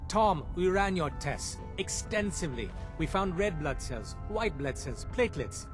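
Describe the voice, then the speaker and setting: A man's voice: a little high, big pitch swings, unhurried, music in the background. An actor playing a stuffy, dismissive male doctor in a video game, precise and clipped. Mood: exasperated. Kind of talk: video game dialogue acting.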